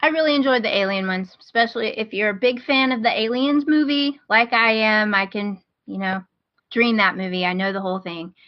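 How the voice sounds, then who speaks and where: Woman, medium pitch, informal podcast host, enthusiastic, close dry sound, slightly lo-fi. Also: a little fast, no room sound. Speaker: podcast host giving recommendations. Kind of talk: casual podcast chat.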